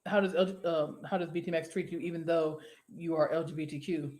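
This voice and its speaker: A woman's voice: deep voice, fairly even intonation, fairly quick, a little mellow. Rambling, cussing woman host in an echoey room. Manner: rambling, profane, laughs easily. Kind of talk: casual live-show chat, reading viewer comments.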